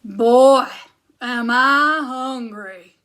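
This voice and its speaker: A woman voicing a grumpy, hungry giant husband who booms and complains. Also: very slow, fairly bright tone, full character voice. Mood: grumbling. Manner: booming, complaining.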